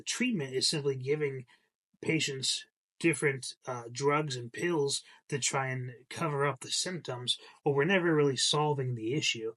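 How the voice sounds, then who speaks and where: A man's voice: medium pitch, a bit bright. A young man hosting his own channel, slightly congested from a cold, talking casually in a rambling way with many fillers and repeated words. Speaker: channel host. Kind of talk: casual commentary to camera.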